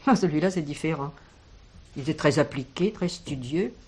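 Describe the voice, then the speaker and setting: An actress playing an older village schoolteacher in a French radio drama, kindly and protective, speaking in a measured, expressive way. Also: female voice, low voice, slightly rough. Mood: reminiscing.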